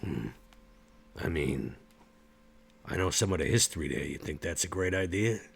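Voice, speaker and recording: A man playing a gruff, loyal, easygoing vampire bruiser in a tabletop game, with a laconic, folksy way of talking. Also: sits low, normal speed, heavily acted. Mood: gruff, laid-back.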